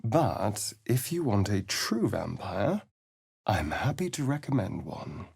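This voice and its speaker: A man's voice: on the low side, very animated, slow pace, gravelly, darkish tone, husky, no echo, clean studio sound, heavily acted. A male actor playing a vain, sly, sardonic vampire adventurer in a video game, with a theatrical, haughty delivery. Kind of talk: video game character voice lines.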